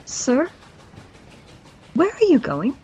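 A woman's voice: sits high, huge pitch swings, drawn-out words, darkish tone, heavily acted. A woman playing a polite, puzzled young girlfriend in an audio fantasy drama. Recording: slightly dull, lo-fi sound.

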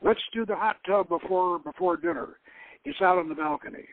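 A man's voice: high-pitched, moderate pace, dry recording, narrow, tinny audio, natural voice. A man voicing a flirtatious, bold woman anthropologist in a dramatic novel reading, with a coy, casual manner.

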